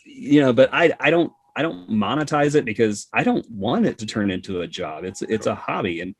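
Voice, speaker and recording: A man's voice: mid-range voice, big pitch swings, brisk, breathy edge, dry recording. A man chatting casually as a podcast guest, with sarcastic, joking asides. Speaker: podcast guest, hobby content creator. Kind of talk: casual chat.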